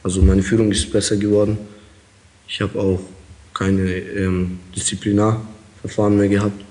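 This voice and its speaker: A man's voice: lowish voice, level tone, normal speed, mellow, rough recording. A young man from Kazakhstan answering interview questions as an inmate, hesitant with many fillers. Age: young adult.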